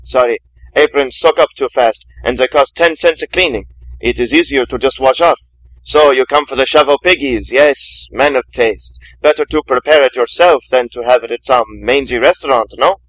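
A man's voice: medium pitch, moderate pace, fairly bright tone, close to an everyday voice, low-quality recording. A man voicing a hulking, proud Belgian butcher who speaks with a heavy Belgian French accent and keeps tacking on questions. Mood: proud, hearty. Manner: tag questions, proud and hearty.

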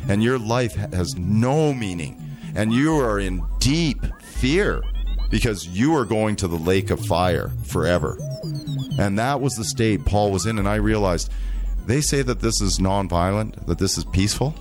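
A man with a very low voice speaks earnestly and at length as an interview guest. He talks slowly and deliberately, often stumbling and restarting mid-sentence. Faint music sits under the voice. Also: expressive. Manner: slow, deliberate, with frequent restarts. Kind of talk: earnest conversational monologue.

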